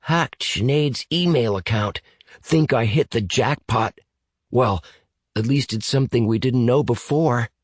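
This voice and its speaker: A man's voice: medium pitch, unhurried, slightly rough, dry recording, clean studio sound, pitched above the performer's own voice. A man voicing a young male computer geek who talks casually, directly and eagerly in an audiobook story. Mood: excited.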